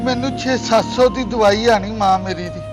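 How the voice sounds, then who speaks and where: A man acting a desperate young-sounding beggar and addict, with a very high-pitched voice and a Pakistani Punjabi accent. He pleads insistently, in the manner of a homemade moral drama, over clear background music. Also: moderate pace, close to an everyday voice. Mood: grateful. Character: desperate pleading drug addict begging money for sick mother.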